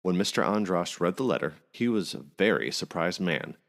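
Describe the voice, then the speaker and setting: A man's voice: low-pitched, animated, moderate pace, a bit bright. A man narrating a scripted folk tale aloud as a podcast storyteller.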